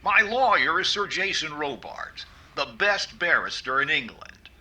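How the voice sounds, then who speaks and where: An actor playing a fussy, vain radio comedian with measured comic delivery and dry punchlines in an old-time radio show. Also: male voice, fairly high pitch, expressive, sharp tone, exaggerated voice. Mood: wry narration. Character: fussy, vain radio comedian host, narrating as accused man.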